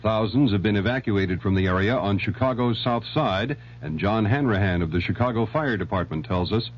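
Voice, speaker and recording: A man's voice: fairly low pitch, fairly quick. A man with an American accent anchoring a scripted network radio news bulletin. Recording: dull, noisy, humming vintage radio recording.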